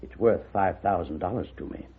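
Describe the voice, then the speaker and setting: An actor playing a proud, determined, wealthy blind father in a 1950s radio western, with a husky voice and formal, commanding speech. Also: sits low, brisk, a little hiss or hum, natural voice. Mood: grave, insistent. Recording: narrow, muffled old radio recording.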